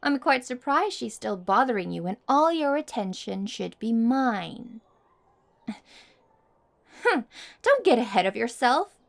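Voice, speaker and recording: Woman acting a haughty, high-voiced popular girl. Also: sing-song, unhurried, vocal fry, pauses often, exaggerated voice. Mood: smug, teasing.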